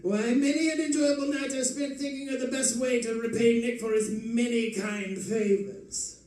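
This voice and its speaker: Man playing a suave high-voiced radio villain. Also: steady tone, normal speed, a little mellow. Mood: gloating. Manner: silky, taunting, mock-courteous, chuckling. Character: suave, mocking male escaped-convict villain with a gang. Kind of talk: old-time radio crime drama acting. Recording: echoey live stage, distant mic, faint noise.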